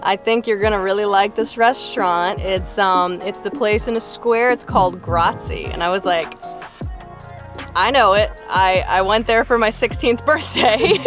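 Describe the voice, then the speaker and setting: Playful woman with a low, sultry voice. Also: normal speed. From Bloomington, Indiana. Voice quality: deep voice for a woman, by her own account. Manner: playful, laughs often. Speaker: vlogger. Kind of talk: casual vlog narration. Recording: music playing underneath.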